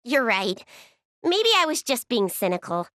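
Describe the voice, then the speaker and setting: Bright, lively, frank teenage girl. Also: on the high side, a little slow, no echo, clean studio sound. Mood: relieved, candid. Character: frank teenage girl, slightly cynical younger sister. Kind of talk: English anime game voice acting.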